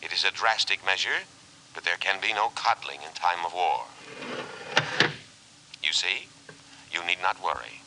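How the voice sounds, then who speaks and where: An actor playing an officious, menacingly solicitous robot in a 1950s radio drama, speaking formally and without emotion. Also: male voice, deep voice, measured pace, long pauses. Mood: calm menace. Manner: formal, precise, unemotional delivery. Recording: hissy 1950s radio recording.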